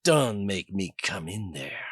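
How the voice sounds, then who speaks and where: A man voicing a greasy, leering older male guard in an audiobook, with a slow, lowered drawl. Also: sing-song, pushed and bright, husky, dry recording, studio quality, heavily acted.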